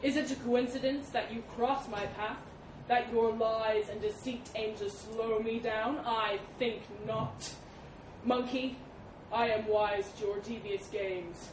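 A woman's voice: fairly high pitch, steady tone, slow pace. A woman voicing a huge, proud male elephant in an animal fable, cold, haughty and threatening. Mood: cold, menacing. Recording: roomy, distant mic with background noise.

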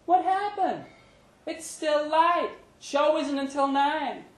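A man voicing a high-pitched, firm woman bar owner. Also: lively intonation, slow, full character voice. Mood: surprised greeting. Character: Brenda, firm, cool woman bar owner, estranged wife. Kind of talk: dramatic short story reading. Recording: roomy live pub reading.

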